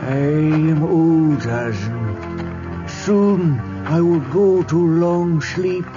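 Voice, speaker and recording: Old village chief, slow solemn broken english, muffled old radio recording. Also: male voice, fairly high pitch. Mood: solemn, weary. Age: older. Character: aging African village chief, grave and wondering. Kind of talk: 1950s radio drama acting. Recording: dull old radio recording, music behind.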